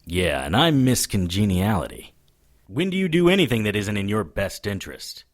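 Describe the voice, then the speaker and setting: A man's voice: on the low side, big pitch swings, normal speed. A man playing a world-weary, wisecracking hard-boiled investigator in an audio drama, dry and sarcastic. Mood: wary, sarcastic.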